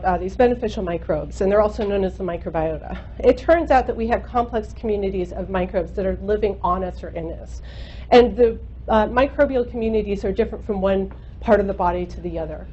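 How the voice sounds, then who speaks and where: A woman in her thirties with a naturally loud voice lectures to a room full of listeners. She talks casually, dotting her explanations with ums and uhs. The recording is slightly muffled, with a faint hum underneath. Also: medium pitch, fairly quick. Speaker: guest speaker, microbiologist. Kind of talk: university seminar lecture. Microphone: microphone at a lecture.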